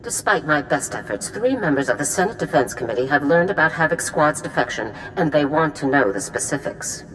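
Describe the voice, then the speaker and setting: A woman's voice: low-pitched, lively intonation, fairly quick, hardly any pauses, fairly bright tone, a little hiss or hum, poor audio. A woman acting a stern middle-aged female general in a video game, clipped, formal and authoritative. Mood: grave, pressing. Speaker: military commander. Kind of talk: scripted game dialogue.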